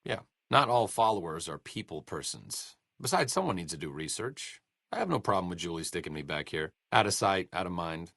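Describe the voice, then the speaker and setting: Casting: a wry, educated young game scientist, low voice, lively melody, dry studio sound. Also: male voice, fairly quick, gravelly, dry recording, heavily acted. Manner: dry sardonic wit, educated phrasing. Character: wry, learned male scientist companion, dry wit. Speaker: game companion voice lines. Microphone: close studio mic.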